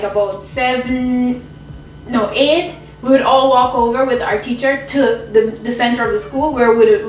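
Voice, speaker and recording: A woman's voice: a little high, recorded from a distance. Chatty woman from Jamaica, echoey kitchen. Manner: chatty, rambling, laughs often. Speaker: vlogger being interviewed. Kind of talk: casual chat while cooking. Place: home kitchen.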